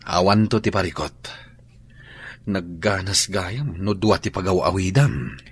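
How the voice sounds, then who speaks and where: A man's voice: deep voice, lively intonation, a little slow, husky, exaggerated voice. A man with an Ilocano accent playing an unfaithful young husband in a radio drama.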